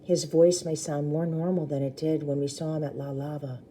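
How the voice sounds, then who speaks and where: Woman, storyteller, low pitch, soft dark timbre, expressive children's book reading, explanatory asides. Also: moderate pace, a little hiss or hum. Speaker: read-aloud storyteller.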